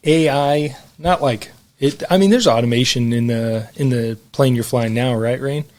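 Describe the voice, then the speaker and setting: A man with an average-pitched voice talks as a podcast co-host. He speaks informally, as if chatting with friends. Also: moderate pace, a little mellow. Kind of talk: casual chat.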